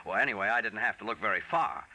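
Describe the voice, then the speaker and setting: An actor playing a smooth, sly con man posing as a private detective in a 1940s radio mystery. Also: on the low side, expressive, fast talker, bright, forceful tone, exaggerated voice. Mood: sly, pressing. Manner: sly, smooth-talking, hesitating coolly. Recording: noisy vintage 1940s radio recording.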